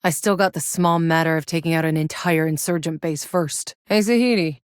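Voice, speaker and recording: A woman's voice: low voice, brisk, clear, resonant tone, no room sound, studio quality, natural voice. An actress playing a tough, sardonic, battle-hardened soldier in a sci-fi video game, blunt and wry in her delivery. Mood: wry, businesslike, then focused, curious. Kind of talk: scripted video game character voice lines.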